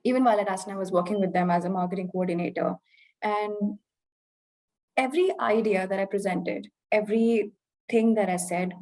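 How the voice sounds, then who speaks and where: A young woman from India talks through her experience in a candid, reflective way, her Indian English accent now mixed with British notes. Her voice sits at a middling pitch, and she often pauses and restarts as she searches for the right words. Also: steady tone, a little mellow, rough recording. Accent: Indian English, softened by years in the UK. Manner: reflective, earnest, many fillers and restarts. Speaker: interview guest. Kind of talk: candid conversational interview.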